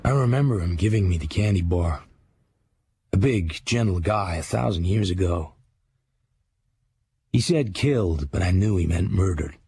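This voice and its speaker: A man's voice: low voice, lively intonation, normal speed, pauses often, mellow, slightly rough, heavily acted. An actor playing a grown writer who grimly recalls his boyhood, narrating a drama in a hard-boiled voice. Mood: grim, reflective. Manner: hard-boiled, matter-of-fact. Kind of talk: scripted first-person drama narration.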